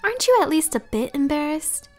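A woman's voice: high voice, sing-song, brisk, a little mellow, faint music behind. A woman voicing a mocking, vengeful young villainess gone rogue in a fan cartoon comic dub. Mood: mocking defiance. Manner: taunting, sneering.

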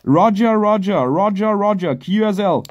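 A high-pitched, soft-toned man operates a ham radio outdoors. He speaks in clipped, repeated contest exchanges and phonetic spelling, over faint noise. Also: normal speed, muffled recording. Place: outdoors at a garden picnic table.

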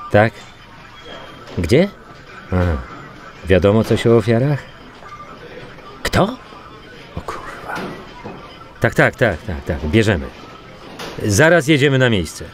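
An actor playing a brusque, weary homicide detective in a naturalistic radio crime drama, colloquial and prone to swearing. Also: male voice, mid-range voice.

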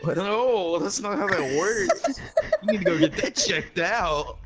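A man's voice: high-pitched, huge pitch swings, slow pace, gravelly, heavy background noise. A young man in casual gaming chat with friends, talking in a blunt, incredulous way. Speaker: online gamer.

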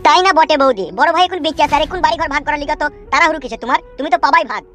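A woman voicing a cocky, sharp-tongued male sidekick in a comedy cartoon, using slangy, sweary speech. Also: high-pitched, very fast, natural voice. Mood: placating. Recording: faint music under the voice.

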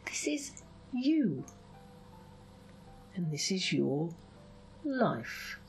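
Slow, swooping woman hypnotherapist, soothing delivery. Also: lowish voice, sing-song, faint music behind. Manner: soothing, drawn-out phrasing, often drops into breathy whisper. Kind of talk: hypnosis session, guided visualization.

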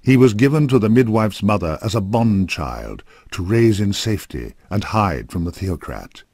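Guy with a lowish voice doing serious fantasy game narration, measured and grave, real dry studio sound. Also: lively intonation, a little mellow, husky, no room sound.

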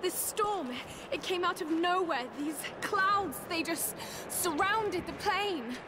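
A woman's voice: extremely high pitch, slow, noisy background. A young woman with an English accent playing an anguished, determined adventurer in a video game. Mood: urgent. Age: young adult. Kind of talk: video game cutscene acting. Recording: loud game soundtrack under the voice.

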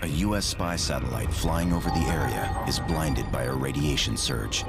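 A man's voice: rumbling low, normal speed, vocal fry. A man playing a grave narrator of a Cold War history briefing in a video game, reading scripted narration. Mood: grave, measured. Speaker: documentary-style narrator. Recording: loud music over the voice.

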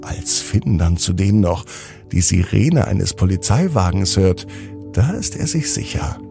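A man narrating a scripted children's bedtime story in a gentle, unhurried way, with deliberate pauses. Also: deep voice, sing-song, creaky, faint music behind.

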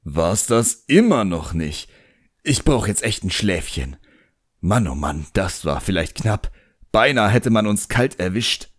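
A man's voice: on the low side, sing-song, measured pace, husky, dry recording, clean studio sound, full character voice. A man voicing a cheeky, put-upon old imp servant who grumbles and stalls in slang in an audiobook. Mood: weary, grumbling.

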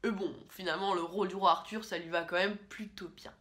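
A young woman talking casually and with strong opinions as she reviews films into a camera in a small room, often pausing on fillers. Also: lowish voice, fairly even intonation, fairly bright tone. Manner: chatty, opinionated, with frequent fillers. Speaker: film critic host. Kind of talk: casual film review vlog.

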